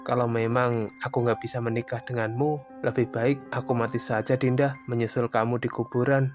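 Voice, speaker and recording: A man voicing a polite, grieving young man in an animated horror drama. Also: medium pitch, moderate pace, over music, lightly acted. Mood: grieving, despairing.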